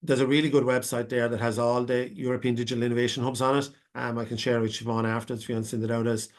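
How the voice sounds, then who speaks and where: Flat-voiced Irish man, rambling. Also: medium pitch, racing. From Ireland. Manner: rambling, many restarts and fillers. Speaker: webinar panelist. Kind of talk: unscripted answer to a question. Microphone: video call.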